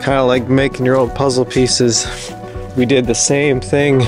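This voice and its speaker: Male DIY vlog host, plain-spoken and informal, mid-pitched with flat, steady delivery, explaining practical steps over clear background music. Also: moderate pace. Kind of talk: casual explanatory walkthrough of construction work.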